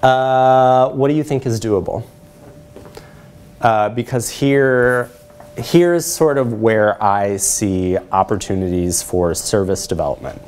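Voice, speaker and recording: A man's voice: on the low side, slow, darkish tone. A man in his thirties presenting a lecture in a conversational way, checking in with his listeners as he explains. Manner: conversational, often adds "right?" to check in. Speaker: academic presenter. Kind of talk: conference lecture with slides. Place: lecture room, indoors.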